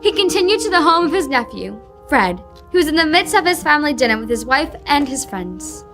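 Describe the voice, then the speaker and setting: A woman's voice: sits high, very animated, fairly quick, a bit bright, faint music behind. A young woman narrating and announcing a scripted old-time radio play. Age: teenager.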